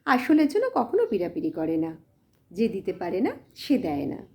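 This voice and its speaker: Mellow, lively-pitched woman storyteller. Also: moderate pace. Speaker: audiobook narrator. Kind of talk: literary story narration.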